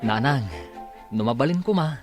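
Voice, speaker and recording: An earnest young son in love, acted by a man in a radio comedy drama. He pleads in a medium-pitched voice, with music clearly playing behind him. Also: expressive, normal speed, natural voice. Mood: coaxing. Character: lovestruck young adult son, earnest peacemaker.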